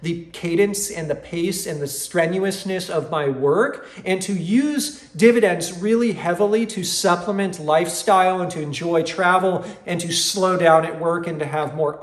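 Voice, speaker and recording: A man's voice: sits high, a little slow, few pauses. A man in his forties explaining things to viewers as a host, in an emphatic manner, repeating words for stress. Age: 40s. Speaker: host of an investing channel. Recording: roomy, echoing room sound.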